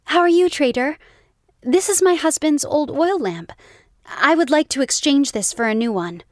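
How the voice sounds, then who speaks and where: Very high, dainty princess. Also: female voice, animated, no room sound, clean studio sound. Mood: polite. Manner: slow, dainty, polite. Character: sweet, naive, delicate young princess.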